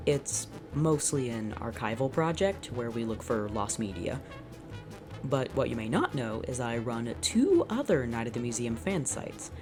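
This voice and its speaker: Very deep-voiced sardonic woman. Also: very animated, measured pace, music in the background. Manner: sardonic, dramatic. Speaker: channel host reading fanfiction. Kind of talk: unscripted reading with live reactions.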